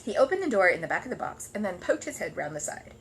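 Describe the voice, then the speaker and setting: A woman reading a children's story aloud in animated storybook narration. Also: medium pitch, fast talker, slight background noise.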